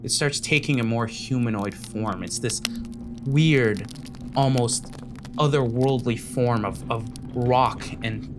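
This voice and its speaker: A man's voice: mid-range voice, slow pace, background noise. A man working as game master, narrating a tabletop fantasy game in vivid, descriptive second-person storytelling.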